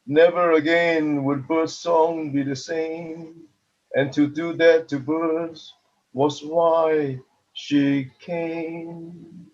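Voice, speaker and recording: A man reading poetry aloud in a measured way, with dull, lo-fi sound. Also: fairly high pitch, flat delivery, a little mellow.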